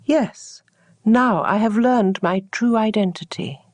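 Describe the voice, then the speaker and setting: A woman's voice: medium pitch, slow, dry recording. A woman voicing a doubtful, proud stream in a storybook tale, questioning and hesitant. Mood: realizing. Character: doubtful, proud stream afraid of losing itself.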